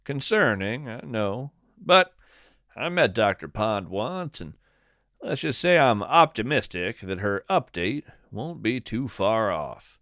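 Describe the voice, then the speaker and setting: Male podcast host, scripted narration: very slow, deliberate, lively intonation, dramatic pauses. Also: fairly low pitch, dry recording, studio quality.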